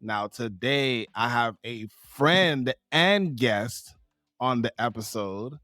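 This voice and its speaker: A man's voice: medium pitch, lively intonation, takes it slowly, sharp tone, no echo, studio quality. A man from New York and Barbados hosting a podcast interview in an upbeat, promo-style patter. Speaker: podcast host.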